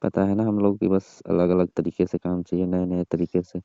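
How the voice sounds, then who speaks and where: A man acting as a smug young rich employer in a comic phone skit. His voice is low and mellow, and he talks in a pushy, teasing way. The call sounds muffled and lo-fi. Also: little pitch movement, moderate pace, no room sound. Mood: teasing, insistent.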